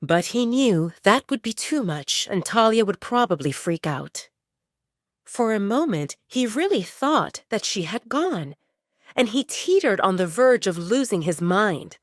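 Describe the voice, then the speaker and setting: Female romance audio drama narrator: lively, wide-ranging intonation, scripted storytelling, dry studio-like sound. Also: medium pitch, measured pace, dry recording.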